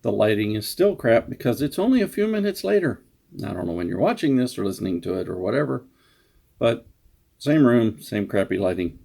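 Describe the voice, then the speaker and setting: A man with a low, mellow voice and a heavy creak talks casually to viewers as a writer. The delivery meanders and halts, with a self-deprecating streak, like an off-the-cuff vlog introduction. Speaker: writer introducing their own story. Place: indoor room.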